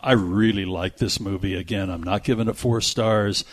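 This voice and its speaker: A man's voice: lowish voice, fairly even intonation, moderate pace. An American man hosting a radio movie show, chatting casually and enthusiastically, with some rambling and live ad reads.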